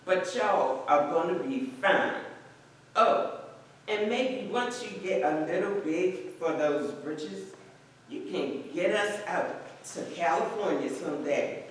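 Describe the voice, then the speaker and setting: A woman's voice: fairly low pitch, expressive. Proud, loving Southern mother played by a woman, folksy stage reading, leisurely delivery, cavernous sound with faint noise. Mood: tender, proud. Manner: slow, tender, folksy. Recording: very echoey room, distant mic, faint noise.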